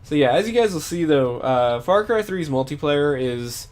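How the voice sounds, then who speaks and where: A man's voice: on the high side, lively intonation, unhurried, darkish tone. A young man chatting casually while streaming a game, stuffy-nosed and sniffling, rambling with many fillers. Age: young adult. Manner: rambling, lots of fillers and self-corrections. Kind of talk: casual gameplay stream chat.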